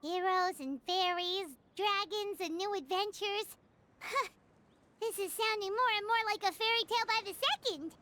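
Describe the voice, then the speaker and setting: An actress voicing a tiny, perky, childlike floating companion with a squeaky, chirpy voice in a fantasy video game. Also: female voice, moderate pace, bright, forceful tone. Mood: excited. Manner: exclamatory, chirpy. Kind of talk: video game character acting.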